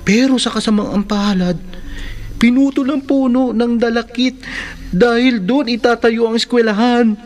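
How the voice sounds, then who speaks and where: A woman's voice: fairly high pitch, steady tone, measured pace, darkish tone, lightly acted. A woman playing a brave, knowing boy who guides others in a horror radio drama, earnest and assured. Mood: grave. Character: brave, knowing youth Mark, protective guide against spirits.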